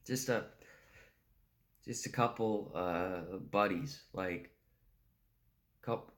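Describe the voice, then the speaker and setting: A man's voice: sits low, drawn-out words, a bit bright, heavily acted. Man acting a stammering, pathetic street junkie. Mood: fearful, pleading, then wistful, rambling. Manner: rambling, stammering, repeating himself, pleading. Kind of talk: dramatic stage monologue performance.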